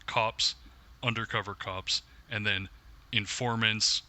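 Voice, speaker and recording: A man's voice: lowish voice, steady tone, slow, pushed and bright. A man, a researcher guesting on a podcast, explains things casually and colloquially, often checking in with his listeners.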